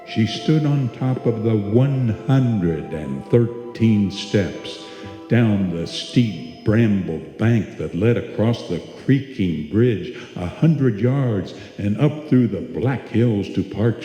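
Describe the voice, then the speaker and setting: A man playing the ominous narrator of a suspense tale, deliberate and dramatic, in old-time radio style. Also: on the low side, expressive, mellow, faint music behind. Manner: deliberate, dramatic, repeating phrases. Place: live stage performance in a hall. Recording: echoey hall, long reverb.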